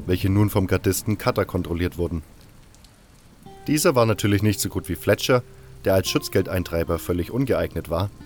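A man narrating a first-person fantasy audiobook in a calm, even storytelling manner. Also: lowish voice.

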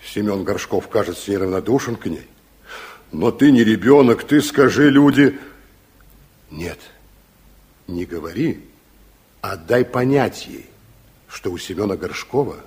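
Casting: fatherly Soviet security officer, low and rough-edged, probing and earnest, vintage radio drama. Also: animated, measured pace, long pauses, darkish tone. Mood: confiding. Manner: probing, repeats words back. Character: weary Soviet secret policeman, earnest, watchful, fatherly. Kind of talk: 1960s Soviet radio play acting. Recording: muffled-edged 1960s radio drama recording.

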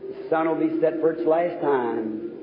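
A man's voice: fairly high pitch, unhurried. A man preaching fervently to a revival congregation as an evangelist, exhorting his listeners. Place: large reverberant meeting hall. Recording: tinny, noisy, echoing old hall recording.

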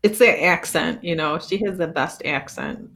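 A woman's voice: low voice, moderate pace, vocal fry, fairly bright tone. A woman speaking casually as a guest in an interview. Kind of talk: casual chat.

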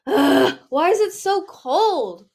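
A woman's voice: fairly high pitch, normal speed, lightly acted. A woman voicing a bubbly, rebellious young female dragon in a storybook, teasing, exclamatory and dramatic. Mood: cheeky.

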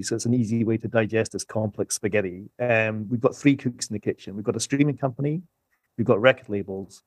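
A man's voice: medium pitch, a little mellow, dry recording. A man speaking as an economist guest, explaining things conversationally in a structured, colourful way. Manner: structured, uses colourful imagery.

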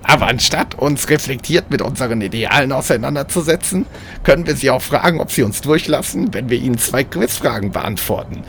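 A man's voice: mid-range voice, expressive, fairly quick, gravelly, fairly bright tone, a little hiss or hum, voice pushed up. A man voicing a villainous, gift-stealing evil twin in a comic audio play, with an affected, declaiming manner. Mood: smug. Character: shrill villainous mirror-universe double, gift-stealing scoundrel.

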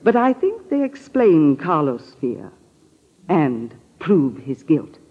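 An actress playing a grave, uneasy woman with a solemn, deliberate delivery in a 1940s radio drama. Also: low voice, sing-song, slightly rough, exaggerated voice. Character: grave, uneasy woman haunted by her suspicions. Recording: muffled, reverberant old-time radio recording.

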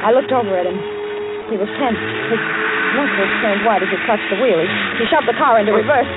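A woman's voice: medium pitch, brisk, natural voice. An actress playing a frightened captive woman, earnest and dramatic, in an old-time radio thriller. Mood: anxious recounting. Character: frightened captive woman, pleading and narrating her ordeal. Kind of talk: old-time radio thriller acting, first-person narration. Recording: noisy, tinny old radio recording.

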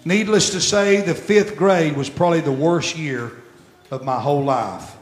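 A pastor in his sixties preaching a sermon, repeating phrases as he swells loud, then dropping very soft. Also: fairly high pitch, moderate pace. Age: 60s. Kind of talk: sermon with storytelling. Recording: echoey church room.

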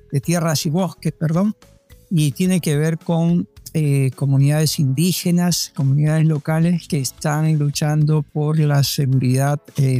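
A man's voice: on the high side, warm tone, no echo. An older man, a guest who grew up in Panama, answering interview questions in a hesitant way with many restarts and fillers.

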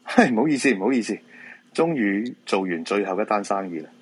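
A man's voice: fairly low pitch, lively intonation, brisk, breathy edge. A man with a Hong Kong Cantonese accent playing a weary middle-aged feng shui master and father in a radio drama, resigned and down-to-earth. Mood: apologetic, genial.

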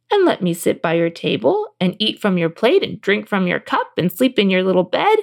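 A woman's voice: on the high side, gravelly. A woman voicing a small male talking frog from a storybook, eager and insistent, who punctuates speech with ribbits. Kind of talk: storybook character voice.

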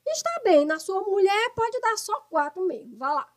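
A shrill, very high-pitched older mother, acted by a woman in a comic radio play. She scolds and bosses theatrically, goading her grown son with insults and commands. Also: big pitch swings, moderate pace. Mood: goading, bossy. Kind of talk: radio soap opera comedy acting.

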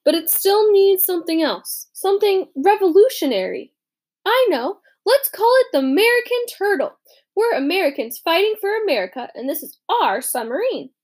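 A woman voicing an eager, intense young male inventor in an audiobook, earnest and quick to rally. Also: extremely high pitch, very animated, darkish tone, voice pushed up. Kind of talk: audiobook character reading.

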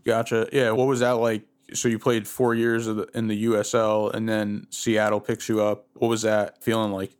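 A man's voice: on the low side, level tone, normal speed, hardly any pauses. A man hosting a soccer podcast, asking laid-back questions and giving short replies. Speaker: podcast host. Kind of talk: casual interview.

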